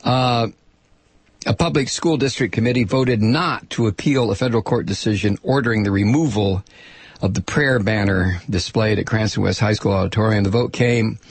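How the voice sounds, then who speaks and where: An American man hosting a political talk show in an opinionated, sarcastic and indignant monologue, with dramatic emphasis and a gravelly, husky voice. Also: normal speed, no room sound. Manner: dramatic emphasis, swinging from low asides to shouts. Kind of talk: opinionated radio monologue, reading and commenting on listener mail and news.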